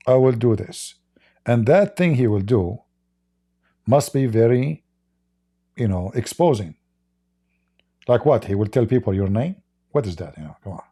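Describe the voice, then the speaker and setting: Male host, non-native English, sarcastic and laughing, warm mellow tone. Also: medium pitch. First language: not English. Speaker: livestream host. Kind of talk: mocking commentary on video clips.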